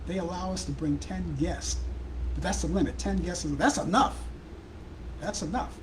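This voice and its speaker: A man from Brooklyn with a Brooklyn accent hosting a live talk show, chatting casually in a hoarse, worn-out voice. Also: high-pitched, moderate pace, slight background noise, poor audio. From Brooklyn, New York. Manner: rambling, chatty, addresses viewers and callers by name.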